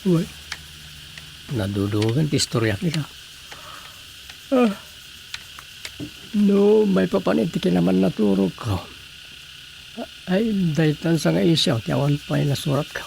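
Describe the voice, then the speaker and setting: A man's voice: on the high side, animated, takes it slowly, vocal fry, long pauses, darkish tone, slight background noise, full character voice, poor audio. A man with an Ilocano accent playing a grumbling village official who mutters and muses to himself in a radio comedy drama. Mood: pondering, grumbling.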